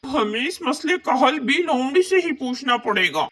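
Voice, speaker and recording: Lively, protective father crow voiced by a woman. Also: high voice, moderate pace. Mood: resolute. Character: steady, reassuring father crow, protective husband. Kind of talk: animated children's fable dialogue.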